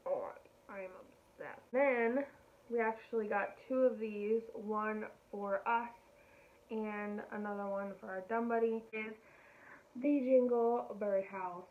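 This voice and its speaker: A woman's voice: mid-range voice, steady tone, takes it slowly. A young woman gushing over the items she shows in a casual haul video, filmed in her kitchen at home. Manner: gushing, enthusiastic. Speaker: vlogger. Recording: tinny phone-like sound.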